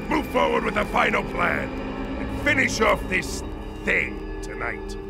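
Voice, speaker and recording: An actor playing a ruthless, menacing tyrant baron in a video game, imperious and contemptuous. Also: male voice, high-pitched, very animated, measured pace, gravelly, loud music behind the voice, heavily acted. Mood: cold fury. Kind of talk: video game villain acting.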